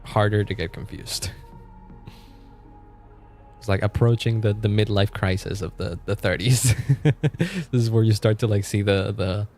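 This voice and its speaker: A man's voice: fairly low pitch, measured pace, pauses often, darkish tone, soft background music. A man in his twenties chatting casually on a live stream. He rambles, laughs often and trails off mid-sentence. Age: 20s. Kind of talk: casual live-stream chat, reacting to chat and game.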